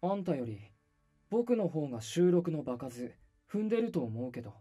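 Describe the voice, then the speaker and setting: A man playing a cool, snarky young male idol in a voice drama, deadpan and needling. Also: fairly high pitch, normal speed, breathy edge, soft background music. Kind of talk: Japanese voice drama acting.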